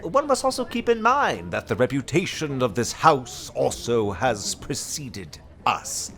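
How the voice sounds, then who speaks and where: A man's voice: mid-range voice, very animated, a little slow, a bit bright. A man acting a gloomy, pompous horror writer with florid, archaic, theatrical delivery in a comic web drama. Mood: doom-laden.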